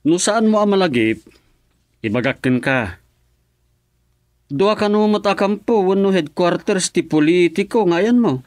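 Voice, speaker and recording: A man's voice: high-pitched, expressive, a little slow, long pauses, a little mellow, no echo, studio quality. A man with an Ilocano accent playing a village chairman in a comedy radio drama, stern and scolding.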